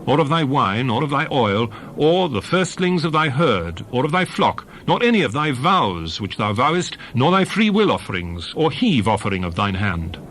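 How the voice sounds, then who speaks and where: A man narrates scripture in a dramatized, solemn style, declaiming each phrase with emphasis. His mid-pitched voice rises and falls in lively, sweeping inflection. A faint noise sits behind the reading.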